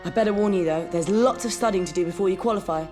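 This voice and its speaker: An actress playing a cheeky, upbeat young woman who hunts dark wizards in a video game, with a British accent. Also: mid-range voice, fast talker, music in the background, lightly acted. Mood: cheerful, teasing. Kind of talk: video game cutscene acting.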